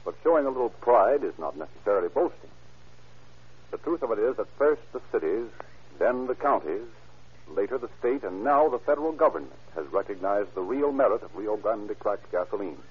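A man's voice: fairly low pitch, lively intonation, a little fast, slightly rough. A man with an American accent announcing from a script in formal, declarative 1930s radio style. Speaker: announcer and sponsor spokesman. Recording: muffled vintage radio recording.

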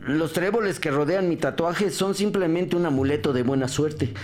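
A man's voice: fairly high pitch, normal speed, a little mellow, a little hiss or hum, natural voice. An actor playing a cornered, defensive ex-convict suspect who pleads and stammers his denials in a crime audio drama. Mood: defensive explaining.

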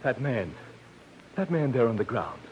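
An actor playing a fussy, easily alarmed man in 1940s radio drama style, with a raspy voice. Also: medium pitch, very animated, a little slow, muffled recording. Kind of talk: 1940s radio character acting.

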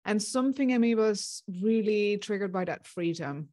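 A middle-aged woman from the Netherlands with a Dutch accent, talking earnestly as an interview guest, often pausing with fillers and restarting her sentences. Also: mid-range voice, expressive, warm tone. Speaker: guest, success coach. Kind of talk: conversational interview.

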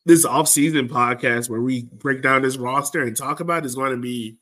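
A man's voice: mid-range voice, a little fast, sharp tone. Casual American man hosting a podcast. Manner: informal, colloquial, conversational. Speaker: sports podcast host. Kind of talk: casual soccer fan discussion.

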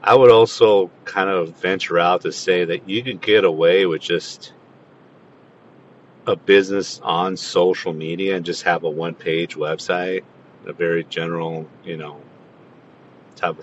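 A very deep-voiced man talks into his podcast from behind the wheel, drifting from point to point and chuckling at his own jokes. A faint rumble of the road runs under his voice. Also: level tone, a little slow, lo-fi sound. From Tucson, Arizona. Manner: rambling, self-amused, frequent restarts. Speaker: podcast host. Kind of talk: casual solo podcast monologue. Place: in a car driving. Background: car engine rumble.

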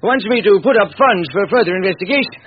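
An actor playing a formal, imperious and superstitious wealthy chemist in an old-time radio drama, on a muffled, noisy recording. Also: male voice, high voice, animated, fast. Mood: grave, uneasy.

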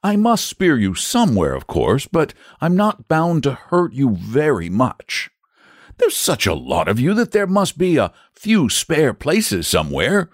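A man's voice: medium pitch, wildly swooping pitch, measured pace, dry recording, clean studio sound, voice pushed up. A man voicing an earnest, patient, confident storybook knight who reasons in an eager, persuasive way. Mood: patient, reasoning. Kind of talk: storybook character voice.

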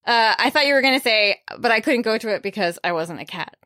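A woman's voice: a little high, animated, fast, bright, forceful tone, no echo. A woman hosting a book podcast in casual chat, bubbly and talkative, laughing often. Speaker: podcast host.

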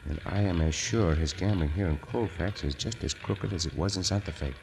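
A man playing a gallant, calm caballero hero in an old radio drama, speaking formal English with Spanish words. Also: deep voice, a little fast, vocal fry. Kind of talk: 1950s radio drama acting. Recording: noisy old radio recording.

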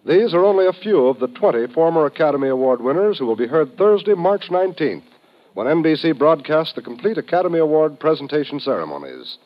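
Casting: American male network announcer, fairly high voice, polished and emphatic, vintage 1950s radio delivery, dull band-limited sound. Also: big pitch swings, quick pace. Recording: dull old radio recording.

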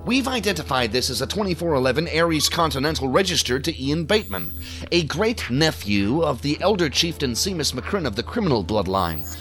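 An officious federal agent in an audio drama, with a fairly high male voice that swings in pitch. He speaks in formal, measured phrases with a pompous, easily irritated edge, over music. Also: very animated, fairly bright tone. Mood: authoritative.

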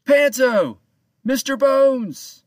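Loud, bossy pirate captain, voiced by a man reading a story. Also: big pitch swings, moderate pace, dry recording. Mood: calling out. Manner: loud, demanding, high-pitched.

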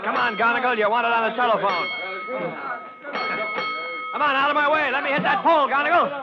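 An actor playing an urgent fireman shouting to rouse his company in an old radio drama. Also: extremely high pitch, fairly even intonation, brisk, a loud crowd. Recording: muffled old radio recording.

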